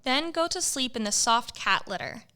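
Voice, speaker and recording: Bright, smooth woman narrator. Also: a little high, lively intonation, normal speed. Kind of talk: scripted comic narration.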